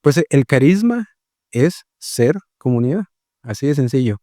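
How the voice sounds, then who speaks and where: A man's voice: medium pitch, big pitch swings, mellow, no room sound, studio quality. A man from Guatemala, a missionary guest explaining his work in a reflective, conversational way, often checking in with "¿verdad?". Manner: reflective, explains slowly with many fillers and "¿verdad?". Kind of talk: conversational interview.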